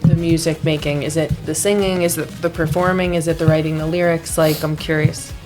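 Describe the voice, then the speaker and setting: A woman hosts a chatty interview in a notably low voice. Music plays clearly behind her as she talks informally with guests. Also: flat delivery, fairly quick. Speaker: interviewer, show host. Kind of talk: casual interview chat.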